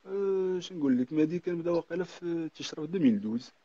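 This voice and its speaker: A man's voice: a little high, very fast, warm tone, no room sound. A man in his twenties with a Moroccan accent answering questions over a call, hesitant and restarting. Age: 20s. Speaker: guest on call. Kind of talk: phone-in interview. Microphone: phone call. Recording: muffled lo-fi call audio.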